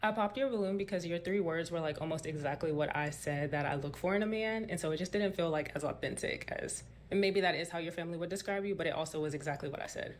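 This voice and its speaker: A woman's voice: on the low side, quick pace, hardly any pauses, a little hiss or hum. A woman contestant on a dating show, asking questions and explaining her choices. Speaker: dating show contestant choosing among suitors.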